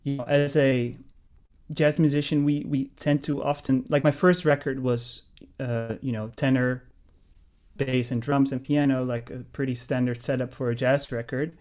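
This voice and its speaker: A man's voice: medium pitch, level tone, moderate pace, a little mellow. A man, a jazz pianist and composer chatting in an interview, thoughtful and full of restarts. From Netherlands. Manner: thoughtful, rambling with many restarts and fillers. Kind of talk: casual interview chat.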